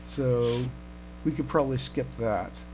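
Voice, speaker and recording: A man co-hosting a casual fan podcast, speaking haltingly and often repeating his words as he comments. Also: mid-range voice. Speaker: podcast co-host. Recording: noisy home recording with hum.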